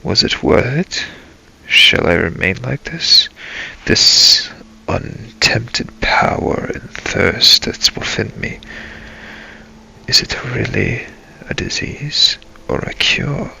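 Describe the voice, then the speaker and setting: Brooding vampire adventurer played by a man, game role-play narration. Solemn, dramatic, halting; gravelly, with sharp edge. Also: lowish voice, lively intonation, a little hiss or hum, exaggerated voice, rough recording. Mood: brooding, tormented. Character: brooding male vampire adventurer narrating his dark journey. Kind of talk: improvised in-character role-play narration over gameplay.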